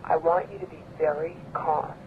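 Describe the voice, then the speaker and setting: A woman's voice: deep voice, fairly even intonation, moderate pace, natural voice. Woman acting an exasperated wife, tinny noisy line. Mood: tense, controlled. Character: exasperated, anxious young wife, reproachful. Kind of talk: radio drama acting. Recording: tinny phone or radio link, noisy background.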